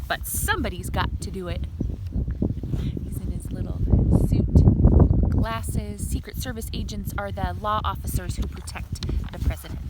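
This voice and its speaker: A woman reading a children's picture book aloud outdoors like a teacher, adding chatty asides and questions for young listeners. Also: mid-range voice. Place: outdoors in a communal space by a river. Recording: noisy outdoor recording.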